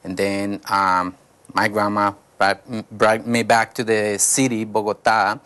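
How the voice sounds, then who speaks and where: A man, a pastor, telling his life story in a studio interview, halting and searching for words. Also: on the low side, little pitch movement, pushed and bright, clean tone. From Colombia. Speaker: pastor, guest. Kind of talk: personal testimony interview.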